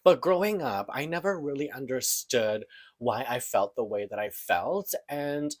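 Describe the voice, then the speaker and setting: A man in his thirties, from the Philippines, speaks in a personal monologue. His voice sits at an ordinary middle pitch, and he talks in an earnest, searching, open way. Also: expressive, measured pace. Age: 30s. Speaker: podcast host.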